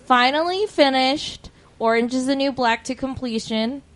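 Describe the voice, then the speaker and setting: A woman with a high voice chats as a podcast co-host. Her delivery is informal and conversational, as among friends. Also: a little slow, a bit bright. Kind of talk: casual chat.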